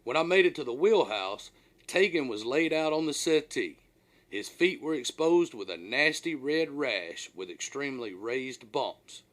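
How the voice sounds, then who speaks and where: A man narrates a first-person tale as the author of an audiobook. His mid-range voice moves up and down a great deal, giving the scripted reading a dramatic, animated storyteller feel. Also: mid-range voice, unhurried.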